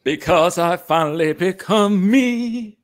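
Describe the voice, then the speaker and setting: A man's voice: sits high, slow. A young man playing a cocky space smuggler in a comic table read, emphatic and mock-serious. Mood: defiant. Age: young adult. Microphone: close desk microphone with headset.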